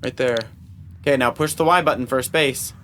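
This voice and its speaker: Very low-voiced woman coaching through a game. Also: moderate pace, fairly bright tone, slight background noise. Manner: patient, coaching, spelling things out. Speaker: adult helper in a child's Let's Play. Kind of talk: casual, instructive chat during gameplay.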